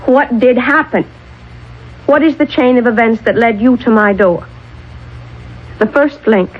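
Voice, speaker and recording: An earnest lady professor in a vintage radio play. Her voice is fairly high and her wording is precise and intent. The broadcast is slightly muffled. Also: measured pace. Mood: earnest, explanatory. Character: earnest, overwrought lady agriculture professor accused of murder. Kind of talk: 1970s radio drama acting. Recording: muffled, band-limited old radio broadcast.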